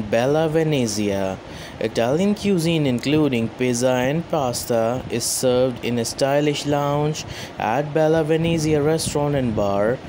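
Guy reading a top ten list, steady mid voice, hum in the background. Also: mid-range voice. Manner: even, measured reading with place names mispronounced. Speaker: narrator. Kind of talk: scripted list narration.

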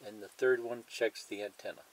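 A man's voice: fairly low pitch, fairly even intonation, moderate pace, noisy background. A man presenting a hands-on tutorial in a plain, methodical way, explaining each step and repeating it.

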